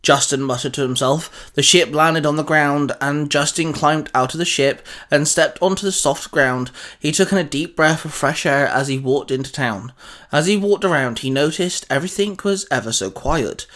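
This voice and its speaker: A man narrates a scripted story in a dramatic style. His voice sits a little higher than most men's, and he reads as a storyteller would. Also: normal speed, a bit bright.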